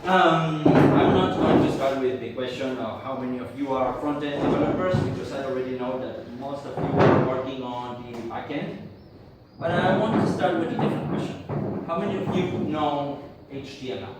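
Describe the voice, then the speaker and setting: A man from Argentina giving a conference tech talk to an audience in English as a second language, halting, with many fillers and restarts. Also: mid-range voice, very noisy. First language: Spanish. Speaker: conference speaker. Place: conference room. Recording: echoey hall, speaker far from mic.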